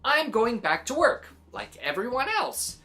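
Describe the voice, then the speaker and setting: A woman's voice: on the high side, big pitch swings, a little slow, sharp tone, faint music behind, pitched above the performer's own voice, heavily acted. A woman voicing a stubborn, eager young boy in a storybook, emphatic and protesting. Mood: indignant.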